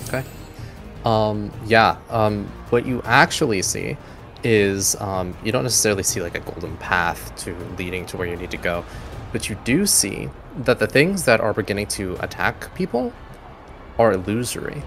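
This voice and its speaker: A man's voice: fairly low pitch, normal speed, fairly bright tone, faint music behind. A man narrating a tabletop game as game master, improvising in casual, conversational phrasing.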